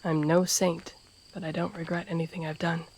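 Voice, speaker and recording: A woman playing a stern, loyal, guarded masked assassin in a fantasy audio roleplay, speaking gravely and formally, often in a hushed breath. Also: lowish voice, level tone, normal speed, lightly acted. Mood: grave, sincere. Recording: noisy outdoor night ambience with crickets. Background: crickets and night insects.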